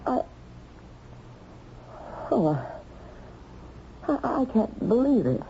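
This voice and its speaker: A woman's voice: on the high side, sing-song, slow pace, dark tone, exaggerated voice. An American actress playing a chatty, flustered, plainspoken working woman under questioning in a radio mystery drama. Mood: puzzled. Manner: hesitant, exclamatory, gossipy. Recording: dull, noisy old radio recording.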